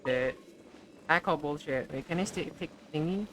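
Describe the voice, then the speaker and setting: A woman game streamer giving casual, expressive live commentary, joking and swearing as she plays. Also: deep voice, moderate pace, a little hiss or hum.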